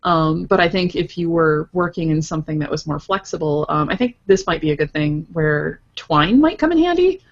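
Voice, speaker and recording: Woman with a lowish, muffled voice. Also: animated, normal speed, few pauses. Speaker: podcast guest, interactive fiction writer. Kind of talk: casual podcast discussion.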